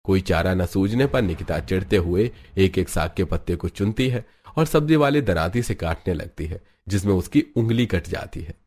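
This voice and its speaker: A man's voice: sits low, lively intonation, normal speed, few pauses, darkish tone. A man narrating a scripted cartoon family story.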